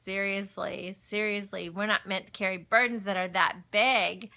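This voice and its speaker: A woman's voice: medium pitch, steady tone, normal speed, vocal fry, bright, forceful tone. A middle-aged woman hosting a livestream from home, telling personal stories and talking about faith in a chatty, folksy way, often addressing viewers. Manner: chatty, folksy, breaks off to greet and answer viewers. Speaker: livestream host. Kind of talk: casual chat, personal storytelling and faith talk. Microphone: phone.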